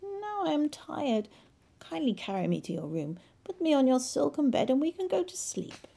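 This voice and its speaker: Woman voicing a pushy storybook frog: croaking, small, insistent, gravelly and lively. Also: high voice, moderate pace, slight background noise, voice pushed up, exaggerated voice. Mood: demanding.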